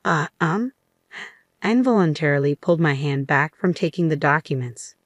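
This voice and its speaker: A woman reads a scripted first-person story as its narrator. Her voice is notably low, and the recording is close and dry, with no room sound. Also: animated, quick pace, dry recording.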